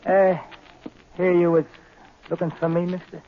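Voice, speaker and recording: An actor playing a wary, streetwise small-time crook with slangy American speech, hesitant, in 1940s radio drama style. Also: male voice, sits high, completely flat delivery, moderate pace, a little hiss or hum, natural voice. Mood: suspicious, puzzled. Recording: tinny phone-like 1940s radio recording.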